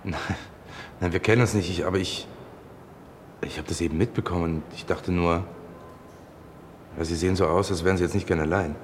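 An actor in a radio drama playing a brooding, resentful loner in clipped inner monologue. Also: male voice, low voice, fairly even intonation, a little fast, long pauses, slight background noise. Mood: hesitant, concerned. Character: Torge, brooding, resentful, menacing loner ex-marksman.

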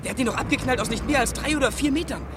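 High-pitched, excitable street gossip. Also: male voice, very fast. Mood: excited. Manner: colloquial, sensation-hungry. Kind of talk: German radio play acting. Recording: noisy vintage radio drama.

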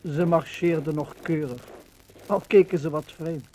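An actor playing an earnest chronicler of the liberation, speaking formally and dramatically in a 1950s Dutch radio docudrama style. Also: male voice, on the high side, fairly even intonation, measured pace, mellow, close to an everyday voice. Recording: noisy 1950 radio recording.

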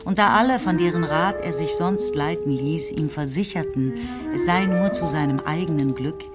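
A woman narrating a literary radio play, telling the story in a measured, scripted way. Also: sits low. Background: instrumental music.

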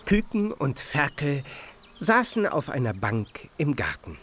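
An actor playing a gentle, modest animal friend who tells a story in a children's radio play. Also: male voice, a little high, huge pitch swings, measured pace, a little hiss or hum, heavily acted. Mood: gentle storytelling.

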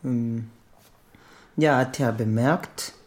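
Guy slowly retelling a story, searching for words, lots of uhms. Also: medium pitch, darkish tone. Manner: hesitant, many fillers and restarts in non-native German. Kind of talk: casual spoken retelling.

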